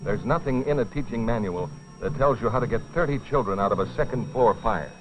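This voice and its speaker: A man narrating a dramatized film in a grave, deliberate scripted style with dramatic pauses. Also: mid-range voice. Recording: dull old film soundtrack, music behind. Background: dramatic music.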